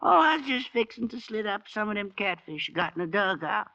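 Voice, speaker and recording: A good-natured freed man of the old South, with a high, bright, gravelly voice. He rambles along in a folksy drawl. It sounds like a muffled vintage radio play. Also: very animated, fairly quick, exaggerated voice. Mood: folksy, wistful. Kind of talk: old-time radio drama acting.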